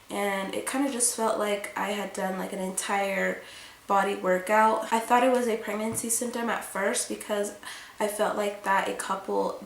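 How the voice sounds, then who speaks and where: A congested young woman talks through a casual vlog update, chatty and rambling with plenty of fillers. Her voice has a gravelly fry to it and sounds stuffy from a cold. The room is echoey, and a faint hiss sits underneath. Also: mid-range voice. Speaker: vlogger.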